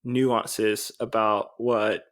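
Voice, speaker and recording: A man's voice: lowish voice, level tone, drawn-out words, a bit bright. A man co-hosting a podcast, talking casually in a rambling, hesitant way with many restarts. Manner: rambling, hesitant, self-deprecating. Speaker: podcast co-host.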